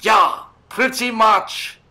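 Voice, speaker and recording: A woman's voice: fairly high pitch, drawn-out words, very noisy. A woman voicing a rotting, pompous undead dictator who schemes and blusters in an audio comedy drama. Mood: boastful, scheming. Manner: pompous, blustering, stammering when worked up.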